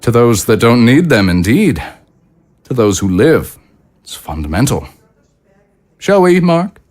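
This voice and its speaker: An actor playing a courtly, smooth-talking consul in an audio drama, formal, stately and politely condescending. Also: male voice, medium pitch, big pitch swings, unhurried, a little mellow. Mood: politely pointed. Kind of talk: dramatic dialogue acting.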